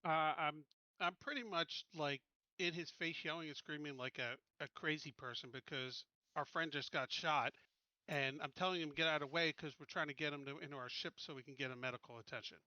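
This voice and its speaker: Higher-pitched, bright-voiced male gamer. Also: a little fast, no echo, highs cut off. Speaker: tabletop game player. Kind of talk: casual game chat, describing character actions.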